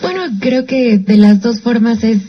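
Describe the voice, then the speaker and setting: A woman, co-hosting a radio show and giving announcements in a calm, polite way. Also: a little high, a little slow, slight background noise. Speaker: radio co-host. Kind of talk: casual radio chat and announcements. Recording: slightly muffled radio broadcast.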